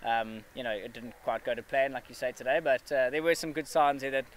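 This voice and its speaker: A man in his thirties answering questions after a match, measured and steady, with frequent fillers and restarts. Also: medium pitch, slight background noise. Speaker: cricket player at a press conference. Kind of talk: post-match press interview. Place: outdoor press area with a backdrop.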